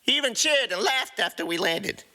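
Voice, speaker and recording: A man's voice: high-pitched, expressive, moderate pace, sharp tone, voice pushed up, heavily acted. A man voicing a cocky, defensive, snickering raccoon troublemaker in a comic fan fiction audio drama. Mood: cocky storytelling. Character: brash, snickering small male raccoon troublemaker.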